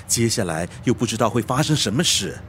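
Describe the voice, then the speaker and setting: A man's voice: on the low side, lively intonation, fairly quick, fairly bright tone, a little hiss or hum. A male actor in a radio drama playing a deferential, meddling, worried manservant, hesitant and fussy.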